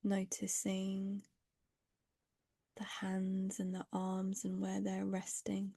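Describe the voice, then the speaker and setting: A woman guides a meditation very slowly and gently, leaving long pauses. Her voice is mellow and breathy with a noticeably creaky edge, sometimes sinking close to a whisper. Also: mid-range voice, monotone, dry recording.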